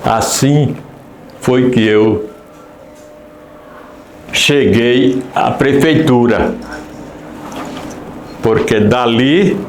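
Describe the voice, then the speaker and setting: A man, slowly recalling his past in a documentary interview. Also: mid-range voice, slight background noise. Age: 80s. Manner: unhurried, halting recollection. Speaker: former mayor interviewee. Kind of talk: documentary testimony, reminiscing. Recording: echoey room with faint ambience.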